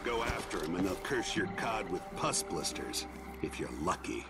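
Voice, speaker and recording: A man's voice: sits low, big pitch swings, moderate pace, over loud music. An actor playing a superstitious, wary guardsman who grumbles, in a video game. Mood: uneasy. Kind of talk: video game character acting.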